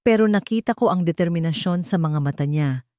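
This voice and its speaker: A woman's voice: on the low side, fairly quick, mellow, no echo, clean studio sound. A woman with a Filipino accent reading a dramatic romance story as its narrator.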